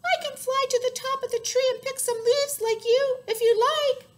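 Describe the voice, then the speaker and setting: Woman acting out a shrill, squawking storybook bird. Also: fairly even intonation, normal speed. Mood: chirpy offering. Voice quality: squawking, chirpy. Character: squawky, bossy but helpful female bird. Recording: noticeable background noise.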